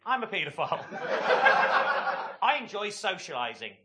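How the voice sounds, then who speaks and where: Deadpan stand-up guy, voice bouncing all over, noisy club. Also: sits high, measured pace. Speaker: stand-up comedian. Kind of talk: stand-up routine. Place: comedy club stage.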